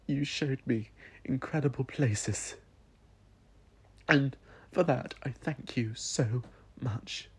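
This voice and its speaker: A man voicing a grieving, resigned young woman in an audio drama, tender, halting and tearful. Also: medium pitch, breathy edge, voice pushed up, full character voice. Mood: tearful, pleading. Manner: halting, emotional, tearful delivery.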